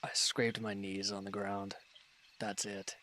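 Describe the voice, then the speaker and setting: A man playing a wounded, irritable villain in an audio roleplay, gruff and curt but grudgingly caring, with an exasperated, grumbling delivery. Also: on the low side, monotone, slow pace, bright, forceful tone, slight background noise. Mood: pained, grumbling. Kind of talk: scripted audio roleplay acting.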